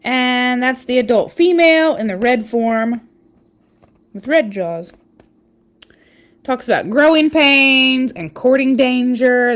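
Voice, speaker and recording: Female picture-book reader: high voice, very animated delivery, expressive emphasis, casual asides to young listeners. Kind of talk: animated read-aloud storytelling with casual asides.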